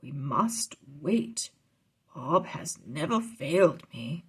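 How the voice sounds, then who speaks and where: A woman voicing a calm, kindly male wizard mentor who explains things in a measured way for a storybook. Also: low voice, husky, voice pushed down, heavily acted. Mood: calm, grave.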